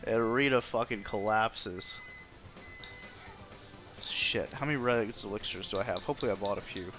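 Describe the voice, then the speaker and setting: A man's voice: on the low side, moderate pace. A man doing casual, sweary gameplay commentary as a video game streamer. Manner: informal, sweary. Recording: game music behind the voice. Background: video game music.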